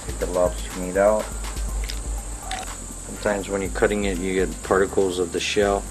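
Middle-aged male chef-host, lowish voice, relaxed informal cooking demo on an outdoor patio, music behind. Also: normal speed. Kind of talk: casual cooking demonstration.

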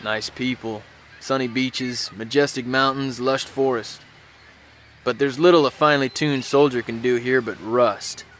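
An actor playing a cynical young US Army private with an American accent, narrating in a wry, profane, slangy way. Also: male voice, mid-range voice, fairly even intonation, a little slow, fairly bright tone, slight background noise, close to an everyday voice. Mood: wry, weary. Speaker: soldier narrator. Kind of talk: dramatic first-person game narration. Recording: music behind the voice.

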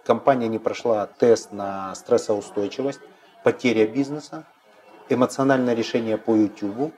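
A man's voice: mid-range voice, moderate pace, a little mellow. A man in his fifties hosting an interview, asking direct, probing questions, recorded on a lapel mic in a large indoor cafe. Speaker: host.

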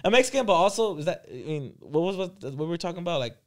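A man co-hosting a podcast in a small studio, animated and quick to laugh, agreeing often as he talks into a studio mic. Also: fairly high pitch. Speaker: podcast co-host. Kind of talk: casual chat. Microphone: headphones and studio mic.

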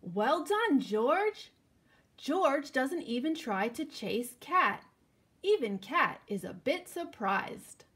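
A woman in her thirties giving an expressive picture-book read-aloud, speaking directly to young children. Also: sits high, slow pace. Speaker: children's librarian reading at story time. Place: indoors, living room at home.

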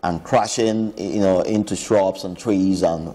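Aviation guy on tv, lowish voice, explains earnestly, lots of reverb around him. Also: fairly even intonation, measured pace, warm tone. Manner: earnest explaining, repeats words. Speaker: aviation expert guest. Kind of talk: explanatory TV interview.

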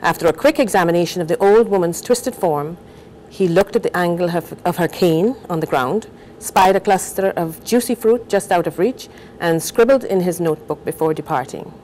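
An older woman from Guyana, a novelist, talking and reading aloud in a measured, thoughtful way on a TV studio set. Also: lowish voice, dark tone, a little hiss or hum, low-quality recording. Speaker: novelist guest.

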